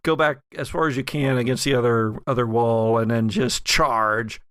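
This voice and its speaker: A man improvising narration as game master of a horror tabletop game, setting scenes in a deliberate, halting way with vivid description. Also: mid-range voice, steady tone, fairly bright tone, smooth, no room sound, clean studio sound.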